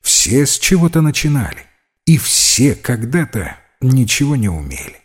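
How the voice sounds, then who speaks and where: A man voicing a kindly but gently stern priest who counsels in a calm, encouraging, preachy way. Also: medium pitch, moderate pace, breathy edge, pitched above the performer's own voice. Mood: firm, encouraging.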